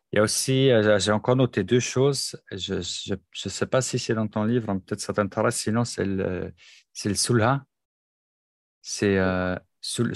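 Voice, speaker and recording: Male host, native German speaker, low-pitched, dry close recording. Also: no room sound. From German-speaking region. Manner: attentive, frequent backchannel agreement. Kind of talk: conversation about mediation.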